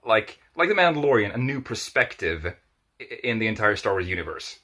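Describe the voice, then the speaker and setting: Bright, forceful young man chatting. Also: fairly low pitch, very animated, fairly quick. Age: 20s. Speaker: podcast guest. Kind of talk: casual chat about film and TV.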